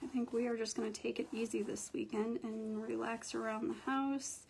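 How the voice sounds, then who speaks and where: A young woman with a hoarse morning voice, chatting casually and thinking aloud while hosting a craft livestream. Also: fairly high pitch, level tone, moderate pace, darkish tone, slight background noise.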